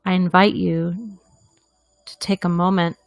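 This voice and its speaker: A woman guides a meditation in a gentle, deliberate way. Her pace is very slow, with long pauses, and her voice sits a little low. The sound is dry and close, with little room around it. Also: steady tone, no room sound, faint music behind.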